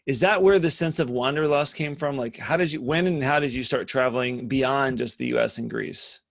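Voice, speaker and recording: Gravelly male webinar host. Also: mid-range voice, moderate pace. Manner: enthusiastic, repeats himself while finding words. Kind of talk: conversational interview.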